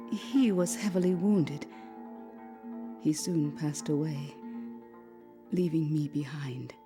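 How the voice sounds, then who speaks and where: A woman playing a bereaved former fighter pilot, reflective and measured, in a dramatic interview monologue for a game. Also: sits low, warm tone. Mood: quiet grief.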